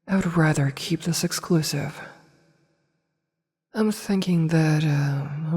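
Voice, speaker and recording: A woman performs an evil empress in a fantasy ASMR roleplay, her voice low, mellow and breathy, often sinking toward a whisper. She speaks with slow, possessive authority and a seductive, teasing edge. Long reverb surrounds her, as in a great hall. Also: full character voice. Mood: possessive, commanding. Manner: slow, teasing, imperious, deliberate.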